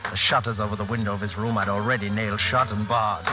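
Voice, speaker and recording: A man's voice: fairly low pitch, fairly even intonation, fast talker, over loud music, close to an everyday voice. An actor playing a desperate, unhinged family man in an asylum, with intense, overwrought 1940s radio suspense delivery. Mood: grim, haunted. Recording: noisy, hissy old radio recording with rain.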